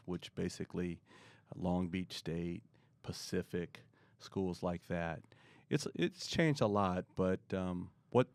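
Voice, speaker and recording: A middle-aged man answering interview questions in a relaxed, rambling way, often pausing with fillers. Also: deep voice, dry recording. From born in Korea, raised in the US. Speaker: guest, marketing expert.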